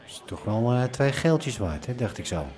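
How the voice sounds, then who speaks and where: An actor in a radio drama playing a sly underworld informant who bargains in teasing hints. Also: male voice, mid-range voice, big pitch swings, normal speed, darkish tone, natural voice. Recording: café crowd behind.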